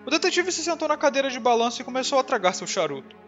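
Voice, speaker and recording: Male Brazilian audiobook narrator, high voice, dramatic scripted reading over music. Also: big pitch swings, rapid-fire, fairly bright tone, highs cut off.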